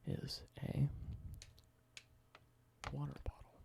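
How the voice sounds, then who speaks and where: A man doing a whispered ASMR roleplay, explaining things slowly and correcting himself now and then. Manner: soft whisper, slow explanations with small self-corrections. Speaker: ASMR creator.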